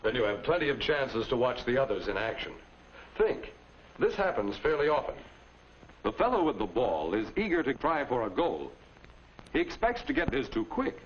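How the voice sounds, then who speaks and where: A middle-aged man playing a calm, fatherly high school principal in a 1950s instructional film, measured and instructive. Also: close to an everyday voice. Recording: muffled, noisy 1950s film soundtrack.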